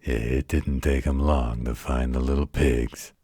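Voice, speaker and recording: A man voicing a gruff, gentle, protective werewolf who tells a bedtime story in a folksy, patient, gravelly voice. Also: steady tone, no echo, clean studio sound, full character voice. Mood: storytelling. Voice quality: deep, gravelly, husky. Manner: folksy, slow, patient drawl with colloquial turns. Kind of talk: bedtime story roleplay audio.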